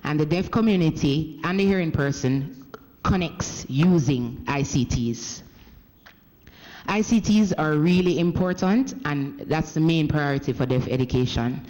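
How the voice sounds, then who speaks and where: Jamaican man, slightly high voice, formal address in a reverberant hall, measured delivery with frequent self-corrections. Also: darkish tone. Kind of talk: formal parliamentary speech, interpreted live. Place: parliament chamber. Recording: echoey parliament hall.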